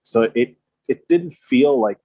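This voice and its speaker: Male film podcaster, early twenties, lowish husky voice, conversational, dry sound. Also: lively intonation, slow, mellow, dry recording. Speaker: film podcast guest or co-host. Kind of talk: casual film chat. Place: remote video call.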